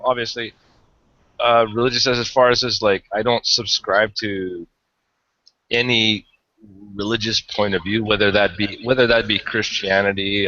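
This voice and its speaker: A man from Hungary talks in a low voice over an online hangout. He rambles, restarts and swears freely. The audio is dry and lo-fi. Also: fairly bright tone, no room sound. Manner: rambling, hesitant, swears often. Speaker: guest caller. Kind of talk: casual chat.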